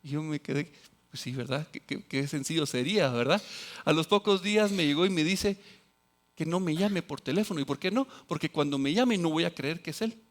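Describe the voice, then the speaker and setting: A man preaching a sermon as pastor, quoting scripture and acting out other people's voices, swinging between loud exclamations and quiet, low asides. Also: brisk.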